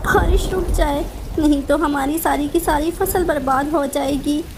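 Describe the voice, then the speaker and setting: A woman's voice: high-pitched, steady tone, normal speed, very noisy. A woman voicing an anxious mother bird in a cartoon, plaintive and pleading. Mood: worried, pleading.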